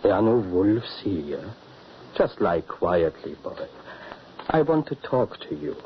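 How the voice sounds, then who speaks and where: Kindly serious husband in old radio play, lowish voice, muffled with some hiss. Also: male voice, big pitch swings, slow, heavily acted. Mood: soothing. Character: kindly seaside husband, calm and grave. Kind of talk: old-time radio drama acting.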